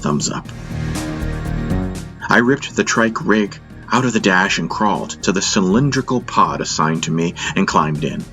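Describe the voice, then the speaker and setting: Low-pitched man, audiobook narration, slow deliberate delivery, dramatic, faint music underneath. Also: animated. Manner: measured, deliberate phrasing. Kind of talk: dramatic first-person scripted narration.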